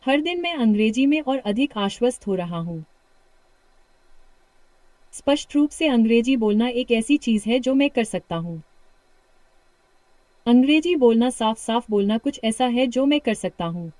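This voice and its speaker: A British-accented woman leads a speaking drill, cheering the listener on and repeating each practice line slowly and clearly. Her voice sits a little high. The sound is close and dry, with no room around it. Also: expressive, no room sound. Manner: warm encouragement, slow repeated practice sentences. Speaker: English speaking coach. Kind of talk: guided shadowing practice lesson.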